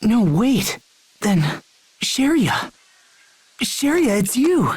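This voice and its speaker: A woman's voice: a little high, sing-song, extremely slow, a little mellow, slightly rough, no room sound, exaggerated voice. A woman playing an earnest, impulsive young male knight in a video game, speaking directly and emotionally. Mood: surprised, delighted. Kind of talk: English video game voice acting.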